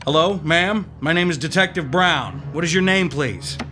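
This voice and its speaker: An actor playing a dry, commanding police detective in a radio drama. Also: male voice, fairly high pitch, lively intonation, normal speed, over music, natural voice. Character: tough male police detective.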